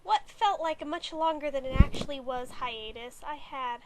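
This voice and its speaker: A young woman from California, hoarse and stuffy with a cold, chatting in a rambling way to her camera in her bedroom. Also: sits high, normal speed, very noisy. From California, USA. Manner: chatty, rambling, wry asides. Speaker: vlogger. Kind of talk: casual chat to camera.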